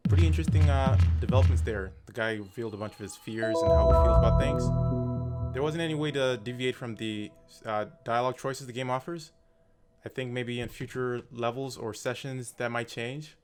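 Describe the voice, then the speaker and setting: A man's voice: mid-range voice, normal speed. A man giving casual, loosely improvised game commentary as host of a gameplay video. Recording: loud music behind the voice.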